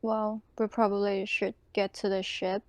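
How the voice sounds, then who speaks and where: A woman's voice: mid-range voice, level tone, moderate pace, no room sound. A woman playing a tabletop roleplaying game, speaking casually in character.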